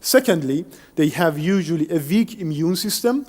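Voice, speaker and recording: High-voiced man lecturing in a reverberant hall. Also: normal speed. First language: Persian. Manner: explanatory, steady, some restarts. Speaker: engineer giving a lecture. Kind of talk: academic lecture. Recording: long hall reverb, faint music.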